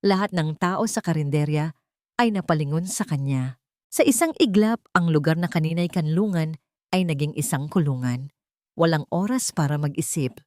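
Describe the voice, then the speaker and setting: A woman narrating a scripted dramatic story, phrasing it deliberately with short pauses. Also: low-pitched, expressive, darkish tone, no echo, clean studio sound.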